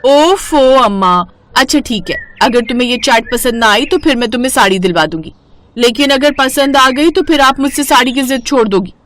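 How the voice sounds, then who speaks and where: A woman's voice: sits high, normal speed, a little hiss or hum. A woman voicing a cheeky young daughter-in-law who coaxes, in a cartoon moral story. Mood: coaxing. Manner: coaxing, animated.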